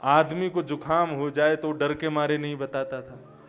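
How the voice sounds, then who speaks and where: A man's voice: on the high side, steady tone, unhurried, fairly bright tone. A man, giving a conversational devotional discourse full of rhetorical questions and anecdotes. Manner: conversational, asking rhetorical questions and answering them. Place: stage of a religious event, seated at a lectern. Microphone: gooseneck podium microphones.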